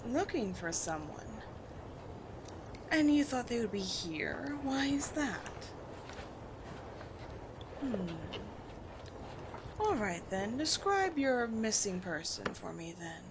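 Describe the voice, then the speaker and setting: A woman's voice: a little high, very animated, noisy background, full character voice. Woman acting as a haughty vampire queen. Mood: cool, curious. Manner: imperious, theatrical, deliberate phrasing. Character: haughty, commanding female vampire queen, disdainful of humans. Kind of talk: roleplay audio drama.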